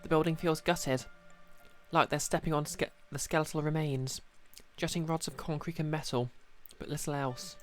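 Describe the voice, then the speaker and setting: Guy streamer reading a visual novel out loud, bit high voice, chatty asides, faint music behind. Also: steady tone, normal speed. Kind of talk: scripted narration with casual asides.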